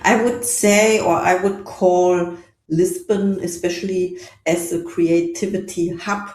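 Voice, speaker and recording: Slow-talking woman host in an echoey room. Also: lowish voice, steady tone, darkish tone. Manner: encouraging, complimentary. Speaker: podcast host. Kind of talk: casual interview conversation. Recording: roomy echo.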